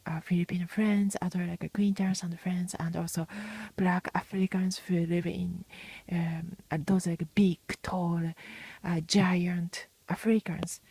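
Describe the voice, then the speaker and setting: Brief: woman, husky gravelly low voice, soft halting livestream talk, dry close sound. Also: level tone, no room sound. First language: Japanese. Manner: soft, often half-whispered, halting with many fillers. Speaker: livestream host. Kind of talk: casual livestream monologue.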